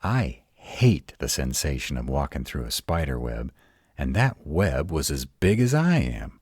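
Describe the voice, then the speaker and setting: An extremely deep-voiced, grumpy were-dragon played by a man guards his home in a roleplay audio drama. He drawls with gruff sarcasm and swings expressively in pitch, sounding close and dry. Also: sing-song, a little slow, a little mellow, dry recording, heavily acted. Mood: grudging, puzzled.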